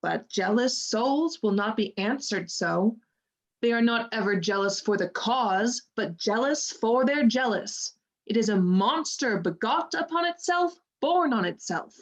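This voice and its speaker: A woman playing a worldly, cynical waiting-woman in a Shakespearean radio play, measured and knowing. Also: on the high side, expressive. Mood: grave, knowing.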